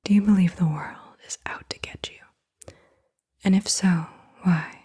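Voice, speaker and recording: Whispering ASMR woman, very breathy. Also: lowish voice, fairly even intonation, unhurried, mellow. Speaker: ASMR host asking questions. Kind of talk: soft-spoken ASMR interview questions. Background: computer keyboard typing.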